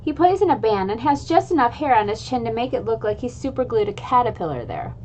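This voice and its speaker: A woman's voice: on the high side, animated, fast talker, a little mellow, a little hiss or hum, low-quality recording. Upbeat, casual woman reading aloud. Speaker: children's book author. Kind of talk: casual chat and read-aloud.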